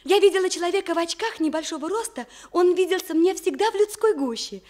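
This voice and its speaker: An actress playing an eager young woman journalist, narrating a Soviet radio drama in an expressive, theatrical way. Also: very high voice, fast. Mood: wistful, self-mocking.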